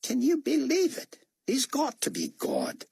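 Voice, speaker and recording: A man's voice: very high-pitched, unhurried, vocal fry, slightly rough, no echo, studio quality, pitched above the performer's own voice, heavily acted. A man voicing an overeager, rambling male motor pool chief with an Australian accent in an audiobook. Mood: excited. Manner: rambling, excited, keeps talking. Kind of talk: audiobook character voice.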